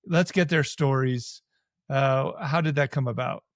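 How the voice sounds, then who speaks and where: A man's voice: mid-range voice, measured pace, no room sound, clean studio sound. A man hosting a podcast interview, blunt and casual, often chiming in with short interjections. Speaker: podcast host.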